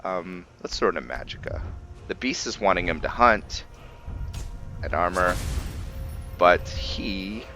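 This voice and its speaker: A man giving casual gameplay commentary and roleplay storytelling, haltingly thinking aloud as he goes. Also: sits low, expressive, bright, forceful tone, faint music behind. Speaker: gaming video host and roleplayer.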